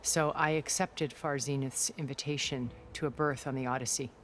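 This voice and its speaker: A woman playing a poised, cultured, secretive older tech magnate in a video game cutscene, speaking in a measured, eloquent way. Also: a bass voice, steady tone, a little hiss or hum, rough recording. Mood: measured, regretful. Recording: music behind the voice.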